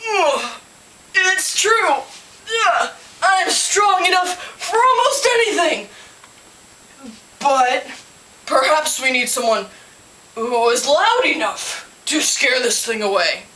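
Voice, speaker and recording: Woman playing a big storybook bear, hesitant, effortful, emphatic, bright high voice. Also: sing-song, takes it slowly, pauses often, reverberant, distant mic, full character voice, rough recording. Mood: straining, doubtful. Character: big strong male bear, hesitant and worried.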